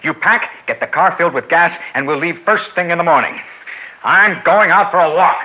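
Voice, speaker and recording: A man's voice: on the high side, lively intonation, moderate pace, natural voice. An American man acting in 1960s radio drama as a weary, persuasive, scheming unfaithful husband. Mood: exasperated, shouting. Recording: muffled, hissy 1960s radio recording.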